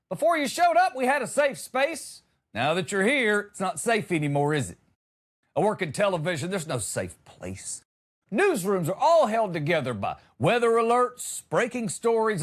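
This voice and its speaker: Animated, high-pitched man on a comic rant. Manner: exasperated, emphatic, sarcastic. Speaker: comedy podcast host. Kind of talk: comedic monologue rant.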